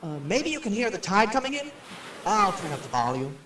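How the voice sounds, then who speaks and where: Wisecracking radio personality, chatty patter, lively swooping pitch, roomy sound, audio drama. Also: male voice, a little fast, distant mic, slight background noise. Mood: breezy, showy. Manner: chatty, flippant, showman-like patter. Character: glib wisecracking male radio personality, sceptical and jokey. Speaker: radio show host. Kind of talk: live on-location radio commentary in an audio drama. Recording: roomy, echoing, off-mic drama recording.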